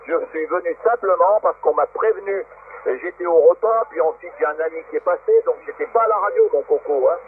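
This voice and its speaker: High-pitched man over tinny shortwave radio. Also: fairly quick. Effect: shortwave radio transmission. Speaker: amateur radio operator. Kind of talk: informal argumentative radio exchange. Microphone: radio transceiver microphone. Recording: narrow, tinny, noisy shortwave radio link.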